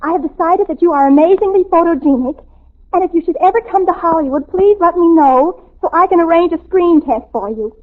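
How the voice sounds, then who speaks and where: A woman's voice: extremely high pitch, a little fast. A woman playing a dramatic, starstruck, self-important American teenage girl in a 1940s radio sitcom, gushing and theatrical. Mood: gushing, excited. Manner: gushing, theatrical, grandly affronted. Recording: tinny old radio recording.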